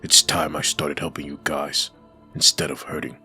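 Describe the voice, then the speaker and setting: A man's voice: a bass voice, flat delivery, normal speed, sharp tone, soft background music, exaggerated voice. A man playing a grim, guilt-ridden undead antihero in a comic dub. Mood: weary guilt. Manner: grim, brooding.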